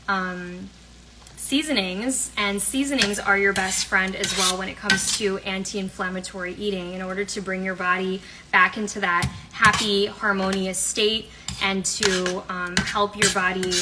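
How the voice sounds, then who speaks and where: A young woman hosting a casual cooking demo at home, chatting in an upbeat, rambling way with lots of fillers. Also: mid-range voice. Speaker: cooking and health coach host. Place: home kitchen. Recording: noisy kitchen recording. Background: pans and utensils clattering.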